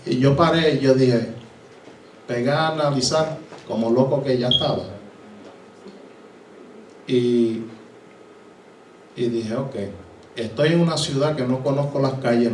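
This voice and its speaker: A man's voice: medium pitch, darkish tone, recorded from a distance, slight background noise. A man from Puerto Rico with a Puerto Rican accent, a pastor giving his testimony from the pulpit, acting out voices and slipping into English. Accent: Puerto Rican Spanish. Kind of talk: sermon testimony. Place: church hall. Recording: echoey hall, voice from afar.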